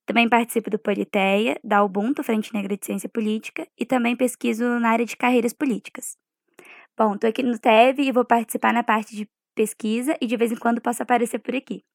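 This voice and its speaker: A young woman introduces herself on a student podcast in a relaxed, conversational way. Her voice is a little high. The recording is dry. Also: fairly quick, dry recording. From Brasília, Brazil. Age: young adult. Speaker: podcast researcher.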